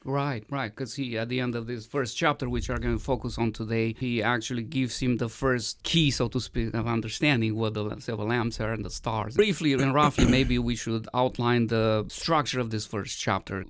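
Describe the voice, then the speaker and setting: A man's voice: mid-range voice, fairly even intonation, brisk, hardly any pauses, dry recording. Romanian-raised male co-host, mid voice, reflective questioning manner, dry close sound. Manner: thoughtful, asks questions, many fillers. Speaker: podcast co-host. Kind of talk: casual Bible study discussion.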